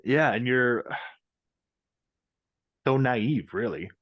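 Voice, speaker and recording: A man's voice: medium pitch, expressive, very slow, highs cut off. A man co-hosting a podcast, chatting informally and reflectively, with some swearing and hedging. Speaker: podcast co-host. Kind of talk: casual, reflective chat.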